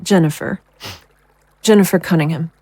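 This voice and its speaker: A woman acting a fragile, troubled psychic in an audio drama, husky and hesitant in her speech. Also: on the low side, moderate pace, warm tone, no echo. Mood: subdued, hesitant. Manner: hesitant, faltering. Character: distraught, fragile psychic woman at breaking point.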